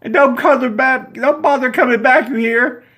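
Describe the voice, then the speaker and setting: A woman's voice: sing-song, a little fast. A man voicing a furious, tearful woman in curlers who screams at her date. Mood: screaming. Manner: screaming, tearful, high-pitched.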